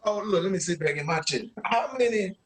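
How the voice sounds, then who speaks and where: A man's voice: high voice, expressive, brisk. A man hosting a podcast in casual, slangy banter peppered with profanity. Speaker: podcast host.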